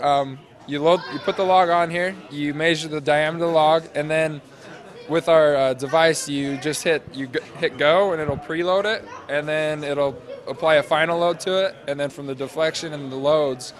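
Young man explaining casually in an interview. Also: on the high side. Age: 20s. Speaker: interviewee, senior engineering student presenting a project. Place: large glass-walled university hall or lobby. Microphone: handheld interview microphone held out to him.

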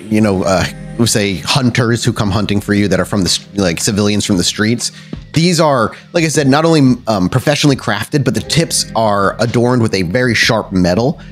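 A man narrating a tabletop roleplaying game as the game master. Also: fairly low pitch. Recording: music behind the voice.

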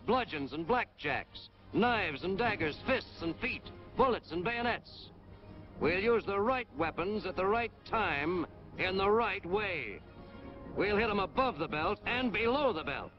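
A man's voice: high-pitched, animated, unhurried, faint music behind. An American man narrating a 1940s military training film in a hard-boiled, punchy, emphatic way. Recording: dull, noisy 1940s film soundtrack.

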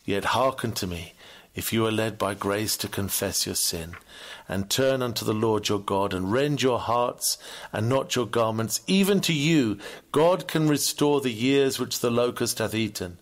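Male sermon reader, slightly low voice, earnest and formal, with old-fashioned pulpit oratory. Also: measured pace. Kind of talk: scripted sermon reading, preacher-like delivery.

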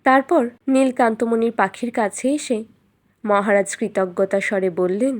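A woman storyteller reading a scripted fairytale in an expressive, dramatic way. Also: fairly high pitch, moderate pace.

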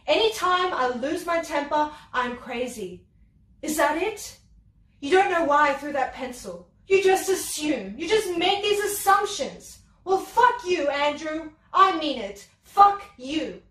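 A woman's voice: high voice, animated, measured pace, a bit bright, distant mic. A woman performing a dramatic monologue as a fiery young ex-waitress now working in an office, swearing and confronting the man she works for. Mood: furious. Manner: profane, confrontational outbursts. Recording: roomy sound from away from the mic.

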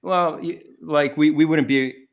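A man's voice: fairly high pitch, fairly even intonation, unhurried, phone-quality sound. A man in his thirties moderating an online debate, hesitant and conciliatory, with many stumbling restarts, speaking from a room at home on a video call. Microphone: webcam video call.

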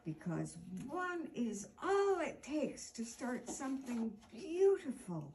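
Woman, 60s, children's storytime reader. Lively, swooping intonation, unhurried delivery, drawn-out key words. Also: high voice, a little hiss or hum. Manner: deliberate, expressive, with drawn-out words for emphasis. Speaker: storytime host reading a picture book aloud. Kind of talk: children's storytime read-aloud. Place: indoor room with armchair.

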